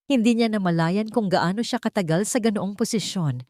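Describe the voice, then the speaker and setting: A woman storyteller delivering scripted dramatic narration in a solemn, measured manner. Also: medium pitch, mellow, no echo, studio quality.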